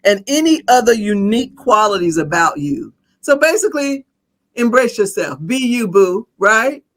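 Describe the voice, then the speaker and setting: Effusive podcast host, a woman, lively pitch, quick to laugh. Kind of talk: casual chat.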